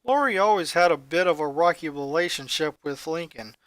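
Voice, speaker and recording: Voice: mid-range voice, brisk, fairly bright tone, no room sound. A narrator reading a scripted story aloud. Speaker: fan fiction reader.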